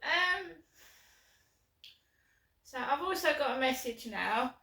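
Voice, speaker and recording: A woman with a sore throat vlogging alone from her flat, rambling and aggrieved, with many ums. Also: high voice, takes it slowly. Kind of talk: casual chat to camera. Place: small flat, indoors. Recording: echoey room, mic at a distance.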